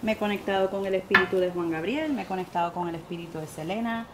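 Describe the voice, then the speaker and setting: A woman's voice: medium pitch, quick pace, very noisy. Puerto Rican woman telling a story. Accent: Puerto Rican Spanish. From Puerto Rico. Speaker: guest medium. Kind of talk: animated interview storytelling.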